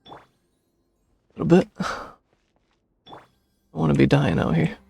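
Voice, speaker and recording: A man's voice: fairly high pitch, fairly even intonation, takes it slowly, vocal fry, warm tone, slightly rough. A man streaming a video game, giving casual commentary and reading game text on a close microphone. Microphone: close streaming microphone, dry. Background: game music.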